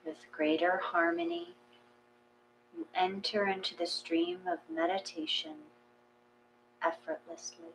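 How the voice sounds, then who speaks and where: A woman reading a guided meditation in a gentle, measured, calming way. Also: medium pitch, poor audio. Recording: clear mains hum.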